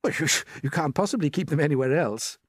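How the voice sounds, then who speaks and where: Small storybook boy, read by a man: curious, polite, wide-eyed, high and lively. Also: fast talker, dry recording, clean studio sound. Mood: puzzled, incredulous. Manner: earnest, wondering. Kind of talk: storybook character voice.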